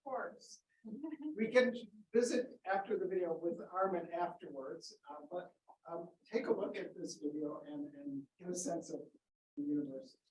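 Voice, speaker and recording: A host giving an informal thank-you speech and introducing a video, in a hesitant, halting way. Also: mid-range voice, recorded from a distance. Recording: echoey room from afar, faint hiss.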